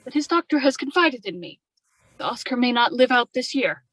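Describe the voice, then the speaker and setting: An anxious young wife with a scheming streak, from a radio mystery, played by a woman. The voice sits high and pleads earnestly. The delivery is persuasive, in a stagey domestic-drama way. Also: expressive, moderate pace, fairly bright tone, rough recording. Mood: worried. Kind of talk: amateur radio drama acting.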